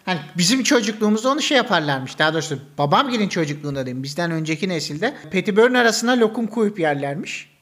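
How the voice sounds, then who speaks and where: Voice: mid-range voice, sing-song, fast talker, a bit bright. A podcast co-host. The talk is casual and humorous, full of colloquial asides. Points are explained with rhetorical questions.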